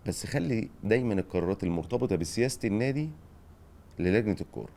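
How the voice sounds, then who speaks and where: A middle-aged Egyptian man with a lowish voice, talking very fast. He hosts a relaxed chat and hands out advice. He keeps checking in with short rhetorical questions. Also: creaky. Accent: Egyptian Arabic. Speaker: podcast host, former football director. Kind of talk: casual advice-giving chat.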